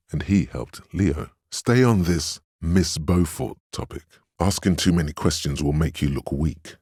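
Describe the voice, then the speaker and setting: An actor voicing a stern, steadfast inner voice of willpower in a video game, measured and dryly sardonic as he admonishes. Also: a bass voice, a little mellow, breathy edge, no echo, studio quality, heavily acted. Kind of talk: scripted second-person game narration, inner voice.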